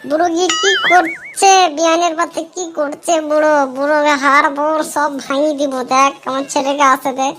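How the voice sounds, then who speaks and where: A woman's voice: high voice, fairly even intonation, brisk, hardly any pauses, fairly bright tone. A woman voicing a fiery older mother in a dubbed cartoon, scolding and threatening her absent husband over music. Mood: suspicious. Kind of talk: cartoon dubbing. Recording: clear music behind.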